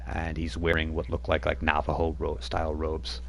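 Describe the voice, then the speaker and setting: A man's voice: rumbling low, completely flat delivery, moderate pace, vocal fry, fairly bright tone, a little hiss or hum. A man narrating a tabletop game as game master, rambling with many fillers and restarts.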